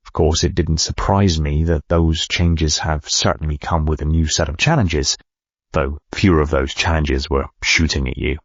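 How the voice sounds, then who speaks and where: A driven ceo dictating his memoir, super low husky voice, measured and thoughtful, bit muffled. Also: steady tone, no echo. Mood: earnest, resolute. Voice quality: gravelly, husky. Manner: reflective, measured, articulate. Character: driven male medical company CEO recounting his life. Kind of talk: first-person memoir narration.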